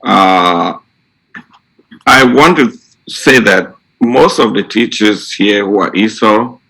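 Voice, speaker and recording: A man talks to fellow teachers in a deliberate, halting way. His voice is on the low side and gravelly, and he takes his words very slowly. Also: pushed and bright, poor audio. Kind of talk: unscripted storytelling to colleagues.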